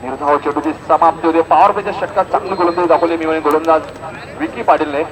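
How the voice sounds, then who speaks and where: A man's voice: a little high, quick pace, crowd noise, rough recording. A man with a Maharashtrian accent giving live local cricket commentary, repeating score lines and dropping chatty asides to listeners. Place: outdoors at a cricket ground.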